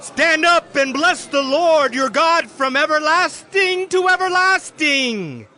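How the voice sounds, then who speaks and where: An actor playing a fervent Levite crying out a call to worship in a scripture drama. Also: male voice, extremely high pitch, expressive, slow, heavily acted. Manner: loud, exhorting cry. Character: fervent male Levite calling people to worship.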